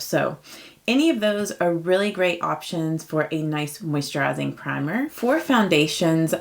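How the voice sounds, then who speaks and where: Late-30s woman vlogging her makeup, a bit raspy from just waking up, lower voice, chatty and upbeat. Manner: chatty, upbeat, lots of fillers. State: slightly raspy morning voice. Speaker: beauty vlogger. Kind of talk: casual get-ready-with-me chat.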